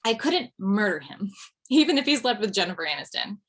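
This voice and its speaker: A woman's voice: medium pitch, big pitch swings, a little fast, a bit bright. A woman acting a wry widowed mother doing stand-up. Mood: rambling, darkly comic. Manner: wry, rambling, punchy comic delivery. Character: recently widowed mother in her forties, rambling, darkly joking. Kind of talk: table read of stand-up style monologue.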